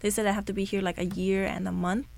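Young female interview guest, mid-range pitch, informal and a bit hesitant, conversational answers. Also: flat delivery, fast, gravelly, a little mellow. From Cambodia. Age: young adult. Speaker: student guest being interviewed. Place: radio studio.

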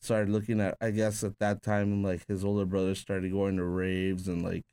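A man born in Peru, talking as a tattoo artist guest in a loose, rambling way, leaning heavily on "like" as he jumps between memories. His voice sits low and sounds smooth and resonant. The recording is dry and close. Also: completely flat delivery, normal speed, dark tone, no room sound. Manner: rambling, filler-heavy with frequent "like". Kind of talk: casual conversational storytelling.

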